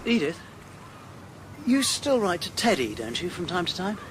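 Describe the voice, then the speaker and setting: An actor playing an earnest, lovestruck, middle-aged English gentleman in a period radio drama, well-spoken and hesitant. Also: high voice, sing-song, moderate pace, slight background noise. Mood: tender. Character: earnest, lovestruck older gentleman of wartime England, exasperated.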